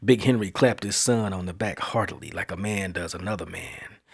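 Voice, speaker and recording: A man hosting a podcast, telling a spooky story in a slow, hushed, dramatic studio reading. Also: low-pitched, creaky, slightly rough.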